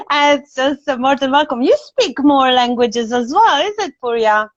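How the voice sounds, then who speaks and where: Polish lady hosting a casual livestream, chatty n gushing, highish voice, dull call audio. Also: animated, measured pace, dry recording. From Poland. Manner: effusive, chatty, frequent tag questions. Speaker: live stream host. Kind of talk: casual chat. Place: indoors, on a video live stream.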